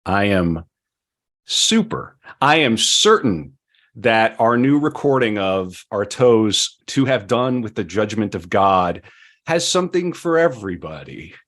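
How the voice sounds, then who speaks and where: Guy podcast host, kinda deep voice, jokey and a bit theatrical, just bantering. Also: sing-song, a little slow, a bit bright. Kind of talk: casual podcast chat with playful banter.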